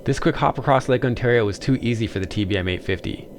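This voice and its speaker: Fast-talking male narrator over engine noise. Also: medium pitch. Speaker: video host.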